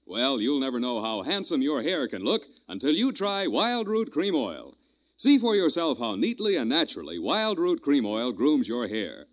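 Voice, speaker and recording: Casting: American male radio pitchman, polished and emphatic, lively swooping delivery, muffled old broadcast. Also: normal speed. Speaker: radio announcer and sponsor pitchman. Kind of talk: 1940s radio announcing, scripted sales pitch.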